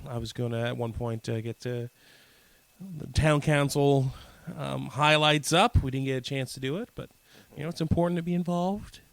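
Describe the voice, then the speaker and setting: A man's voice: mid-range voice, normal speed, gravelly, no room sound. Man bantering, dry close sound. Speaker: co-host of a community radio show. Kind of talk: casual local-news banter.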